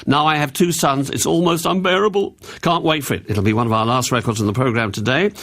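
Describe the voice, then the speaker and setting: A man in his sixties with an English accent presents a radio request show. His voice sits at a middling pitch as he chats and reads out messages from listeners. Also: fast talker, a bit bright. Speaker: radio host.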